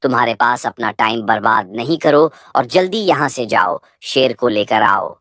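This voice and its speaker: Woman playing a male cartoon crocodile, very deep pitch, menacing, boastful, commanding, dry close sound. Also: lively intonation, moderate pace, a bit bright, no room sound. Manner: threatening, commanding. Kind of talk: children's animal moral-story cartoon.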